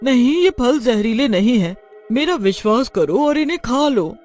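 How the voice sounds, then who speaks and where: A man's voice: very high voice, expressive, normal speed, a little mellow, faint music behind. A man voicing an earnest, well-meaning female witch in a cartoon, pleading emphatically in dramatic dialogue.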